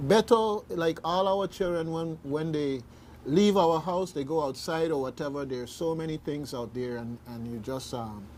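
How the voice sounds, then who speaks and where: Radio host: male, fairly high voice, emphatic informal discussion style, faint noise behind. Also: normal speed, darkish tone. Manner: emphatic, repeats "okay?". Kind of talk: informal talk show discussion.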